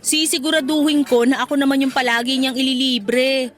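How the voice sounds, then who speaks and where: A woman's voice: high-pitched, flat delivery, a little fast, fairly bright tone. An actress playing a cheeky young career woman who banters with her best friend in a radio drama, teasing playfully. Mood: playful, fond. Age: young adult. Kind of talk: Tagalog radio drama acting.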